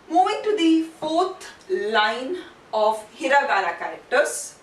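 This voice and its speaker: A woman teaching a language lesson in a didactic way, posing questions and answering them herself. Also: a little high. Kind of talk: instructional lesson, explaining and drilling sounds. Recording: roomy echo, distant mic, faint noise.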